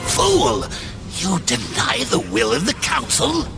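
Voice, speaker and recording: An actor voicing a cruel, scornful demonic taskmaster in a video game, sneering and commanding theatrically. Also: male voice, high-pitched, wildly swooping pitch, slow pace, gravelly, full character voice. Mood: enraged. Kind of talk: video game villain acting. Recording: loud music under the voice.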